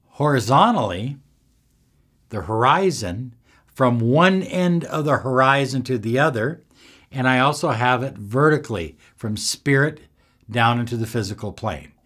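Male coach, 60s. Unhurried, lively intonation, emphatic short phrases, instructional delivery. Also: medium pitch. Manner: deliberate, emphatic, pausing between short phrases. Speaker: self-help coach. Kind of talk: instructional talk to camera. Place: small indoor room with bookshelves.

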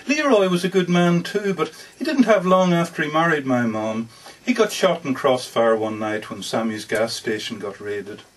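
A man's voice: on the high side, husky. A man reading aloud as an earnest, lovestruck working-class man, folksy and plainspoken, in a dramatic story reading. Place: bookshop book launch. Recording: distant mic at a live reading.

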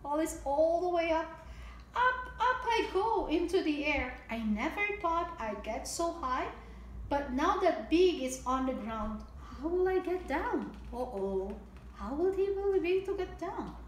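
High-pitched woman reading slowly to young children. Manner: expressive, explains simply to young children. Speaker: early childhood story time host. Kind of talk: children's picture book read-aloud. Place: indoor home room with table. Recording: echoey room with audible background noise.

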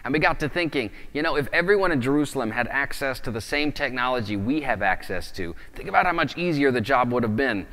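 A man's voice: medium pitch, expressive, fast talker, hardly any pauses, fairly bright tone, long reverb tail. A man in his thirties preaching a sermon in a conversational way, explaining with gestures, recorded on a lapel mic indoors. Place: indoors in front of a wooden plank wall.

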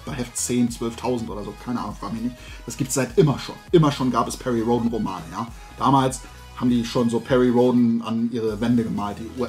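A middle-aged man chatting casually and colloquially as the host of a hobby video, rambling along in a loose, talkative way. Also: medium pitch. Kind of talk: casual chat, product tasting and recommendations. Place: room at home lined with shelves of toy figures.

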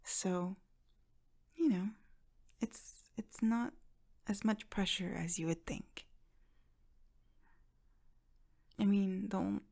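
A young woman playing an affectionate, playful friend in an ASMR roleplay, speaking softly and breathily, often in a whisper, with gentle reassurance. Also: mid-range voice. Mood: gently reassuring. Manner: gentle, reassuring, giggly, halting.

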